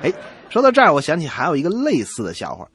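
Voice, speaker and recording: A man co-hosting a comedy radio show, telling jokes in a lively way and acting out the dialogue. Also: on the high side, fast talker, a loud crowd, heavy background noise. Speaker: co-host.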